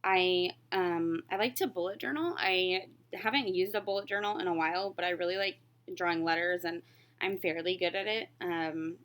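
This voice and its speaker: A woman's voice: fairly low pitch, moderate pace, a bit bright. A woman in her late twenties chatting casually and candidly as a crafting vlogger, rambling a little as she answers questions about herself. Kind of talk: casual chat, answering questions while stitching.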